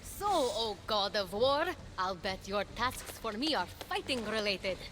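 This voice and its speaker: An actress playing a plucky, wry and teasing young heroine in a video game. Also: female voice, medium pitch, lively intonation, measured pace, very noisy, close to an everyday voice. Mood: upbeat, wry. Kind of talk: video game cutscene acting.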